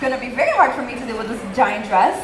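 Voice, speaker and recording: Brazilian-born panel host, woman, Portuguese native, highish voice, echoey room with background noise. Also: animated, fast talker. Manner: chatty, earnest, frequent ums. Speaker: convention panel host, theater teacher. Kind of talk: casual panel talk.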